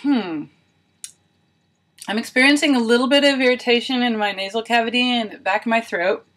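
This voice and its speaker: A woman's voice: on the high side, a little fast, a bit bright. A woman video blogger talking casually and thinking aloud, with wry, self-deprecating humour. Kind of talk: casual chatty vlog narration of a homemade experiment.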